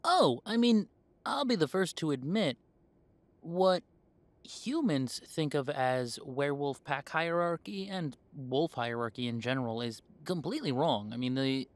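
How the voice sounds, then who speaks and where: A man's voice: fairly high pitch, expressive, a little slow, dry recording. Man playing an easygoing, chatty young male werewolf, dry sound. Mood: reflective, easygoing. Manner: chatty, hesitant, conversational. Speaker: voice actor. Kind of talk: roleplay audio drama, casual one-sided conversation.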